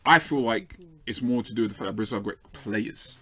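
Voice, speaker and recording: Fast-talking London man debating football. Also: lowish voice, bright, forceful tone. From South London, England. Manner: emphatic, argues with rhetorical tags like "right?". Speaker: panelist on a football fan show. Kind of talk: opinionated sports debate.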